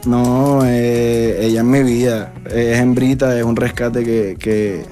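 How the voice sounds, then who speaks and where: Un hombre con acento de la costa caribe colombiana, invitado músico en una charla de radio, bromista y de risa fácil. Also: male voice, mid-range voice, flat delivery, slow pace, warm tone, soft background music. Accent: Colombian Caribbean coast. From Colombian Caribbean coast. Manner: joking, laughs often, loose storytelling. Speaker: musician guest. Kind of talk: casual radio interview chat.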